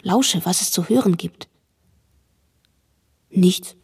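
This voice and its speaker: An actress playing a nervous, watchful girl, narrating a radio drama in measured present tense with a husky voice. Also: fairly low pitch, a little mellow, exaggerated voice. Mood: guarded.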